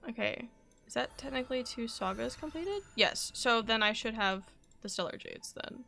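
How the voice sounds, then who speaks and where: A woman's voice: on the high side, lively intonation, moderate pace, gravelly, bright, forceful tone, faint music behind. A woman game streamer chatting and reacting casually, with playful, dramatic pleading and exclaiming.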